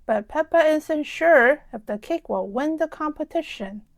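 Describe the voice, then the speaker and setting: A woman storyteller reading a children's storybook aloud in a deliberate, expressive way for young listeners. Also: sits high, no room sound.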